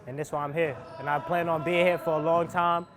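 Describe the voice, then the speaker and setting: A man's voice: on the high side, little pitch movement, fast, music in the background. A young man from New Jersey casually introducing himself as a college basketball player. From New Jersey, USA. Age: young adult. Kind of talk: casual self-introduction to camera.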